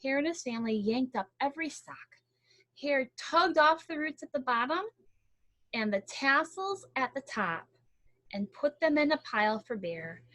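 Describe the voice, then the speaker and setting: A woman reading a picture book aloud in an expressive, chatty way, with casual explanatory commentary. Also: sits high, normal speed, no echo, poor audio. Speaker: storybook reader.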